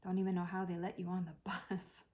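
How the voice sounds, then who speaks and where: A woman reading a play as a dying older mother, wry, tender and apologetic, with a gentle, reflective way of talking. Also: on the low side, monotone, fairly quick, lightly acted. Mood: reminiscing.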